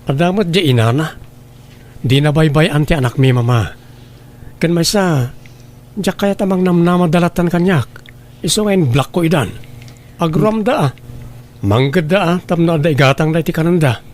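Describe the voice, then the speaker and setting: A proud, unbending father played by a male actor in an Ilocano radio drama. His voice sits a little high for a man, with an Ilocano accent and a firm, dismissive way of talking. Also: moderate pace, pauses often, darkish tone, close to an everyday voice. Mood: defensive, firm.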